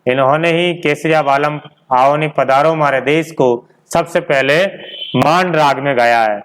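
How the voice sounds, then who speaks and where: A man's voice: a little high, measured pace, fairly bright tone, smooth, rough recording. A man teaching an informal exam-prep class, repeating key points and posing questions that he answers himself.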